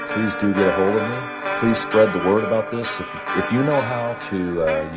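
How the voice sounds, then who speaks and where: A man hosting an amateur audio show, talking to listeners in an informal, earnest, rambling explanatory monologue. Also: low-pitched.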